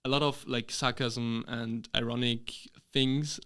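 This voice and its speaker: Man from Austria, podcast guest, halting casual delivery, mid-range voice. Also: medium pitch, flat delivery, a bit bright, smooth. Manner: hesitant, full of fillers and restarts. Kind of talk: casual chat.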